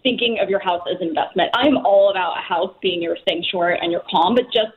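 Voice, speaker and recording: A woman speaking as a personal finance expert guest on a talk show, quick and chatty, full of "like" and "you know" fillers. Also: medium pitch, narrow, tinny audio. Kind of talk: casual talk show chat.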